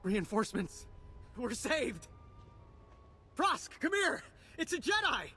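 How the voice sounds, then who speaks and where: An actor playing a jittery, awestruck young accountant turned militiaman in a video game, excitable and flustered. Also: very high voice, takes it slowly, slightly rough, soft background music, heavily acted. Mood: excited relief. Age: young adult. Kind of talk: video game cutscene acting. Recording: loud background noise.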